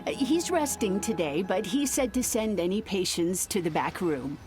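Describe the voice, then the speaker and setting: A woman's voice: medium pitch, expressive, moderate pace, natural voice. Game role: helpful young clinic assistant, voiced by a woman, with music playing under her.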